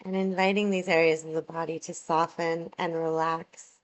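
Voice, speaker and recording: A woman guiding a yoga relaxation meditation, giving soothing, measured instructions with long pauses between phrases. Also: low-pitched, level tone, low-quality recording.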